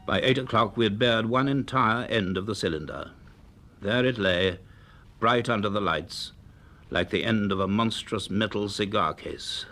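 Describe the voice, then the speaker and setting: Measured, low-voiced British professor. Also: male voice, bright, forceful tone. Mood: grave, absorbed. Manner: deliberate, formal, literary. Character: measured, cautious male university professor. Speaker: actor and narrator in a radio drama. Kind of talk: radio drama acting and narration. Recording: noisy archive radio drama recording.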